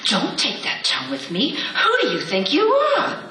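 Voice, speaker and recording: Shrill, bright nagging wife played by a woman, swooping pitch, melodramatic. Echoey, off-mic. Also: huge pitch swings, unhurried, a little hiss or hum, heavily acted. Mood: scolding. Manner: scolding, melodramatic. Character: shrill self-absorbed nagging wife, novelist. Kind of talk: comedy radio play acting. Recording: echoey, distant.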